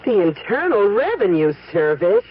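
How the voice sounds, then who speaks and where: An actress in a comic radio ad playing an eager, gushing client of a fortune-teller. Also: female voice, mid-range voice, very animated, moderate pace, husky. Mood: dismayed. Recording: tinny radio broadcast.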